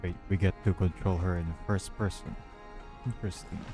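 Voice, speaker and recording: A man's voice: deep voice, fairly even intonation, moderate pace. A man giving game commentary as a streamer. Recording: loud game music behind. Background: game music with guitar.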